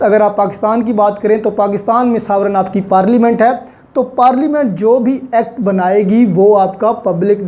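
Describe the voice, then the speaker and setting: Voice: mid-range voice, dark tone. A law lecturer with a Pakistani accent explains in a didactic way, repeating key points and mixing in English legal terms.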